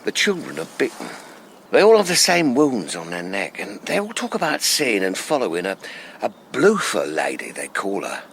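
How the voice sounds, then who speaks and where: An actor playing a civil, plain-spoken Victorian police constable with a deferential, folksy manner in a radio drama. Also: male voice, medium pitch, wildly swooping pitch, moderate pace, creaky, fairly bright tone, slight background noise, full character voice. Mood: civil, concerned.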